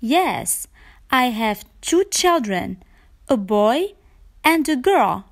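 A woman with a slightly high voice leads a beginner English lesson. She says each phrase slowly and clearly, often twice, then explains it briskly. The sound is dry and close, with no room around it. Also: very animated, dry recording. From Morocco. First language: Arabic. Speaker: English teacher. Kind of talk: slow, repeated beginner language lesson.

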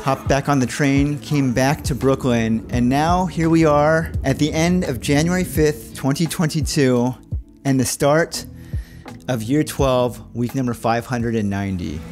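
A man's voice: medium pitch, unhurried, over music. A man in his thirties chatting casually in a weekly vlog, calling his viewers "my friends", recorded on a handheld mic in a small apartment. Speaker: vlogger. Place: small apartment room, indoors. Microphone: handheld shotgun mic on boom cable.

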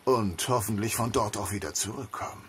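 An actor playing a stout, sly Nemedian master thief in a fantasy audio drama, wry and theatrical, with halting mutters. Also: male voice, fairly low pitch, fairly bright tone. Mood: wry, scheming. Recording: noticeable background noise and ambience.

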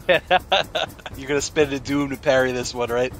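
A man's voice: mid-range voice, a little slow, fairly bright tone, faint music behind. A man running a tabletop game as game master, bantering casually and teasing, often laughing as he talks.

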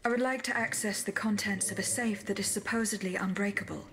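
A woman voicing a courteous female automaton bodyguard in a video game, speaking in a measured, formal and restrained way. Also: medium pitch, steady tone, a bit bright, slight background noise, natural voice. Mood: composed, formal. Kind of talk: video game cutscene acting.

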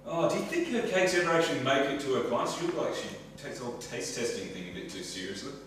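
A man's voice: medium pitch, big pitch swings, quick pace, fairly bright tone, slight background noise. A man playing a grieving, resentful partner in a drama scene, who swears often and also trades teasing banter. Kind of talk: emotional scripted acting. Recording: echoey room, mic far off.

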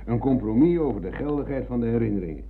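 Casting: older war veteran, ironic, embittered philosopher, medium-pitched, old radio play with narrow phone-like sound. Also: male voice, lively intonation, fast talker, husky. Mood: pedantic, ironic. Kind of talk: radio drama acting. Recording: tinny, narrow-band old radio recording.

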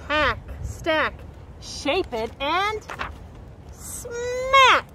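A middle-aged woman reading a picture book aloud to young children in an expressive, carefully enunciated way, recorded outdoors. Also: very high-pitched, very slow. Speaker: storybook reader and nature educator. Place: outdoors by a woodland creek. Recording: outdoor background noise.

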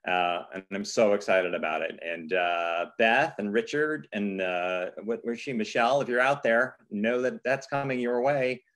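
A man's voice: fairly low pitch, normal speed, few pauses, a bit bright. A man hosting an informal live presentation on a video call as a theater director, speaking with effusive enthusiasm. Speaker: theater artistic director, host.

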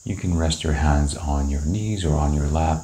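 A man leading a guided meditation, giving calm, gentle, unhurried instructions with long pauses. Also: rumbling low, slight background noise. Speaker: meditation teacher. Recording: roomy, echoing indoor sound.

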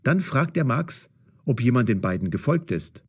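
Expressive male storyteller, slightly husky. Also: medium pitch, normal speed. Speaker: audiobook narrator. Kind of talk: scripted narration of a learners' German audio story.

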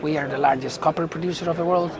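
A middle-aged man from Chile with a Chilean Spanish accent, answering interview questions as a company executive in halting phrases. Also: fairly high pitch, fairly even intonation. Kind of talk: unscripted business interview. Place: indoor booth at a trade conference. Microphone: lapel mic. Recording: busy event hall chatter behind.

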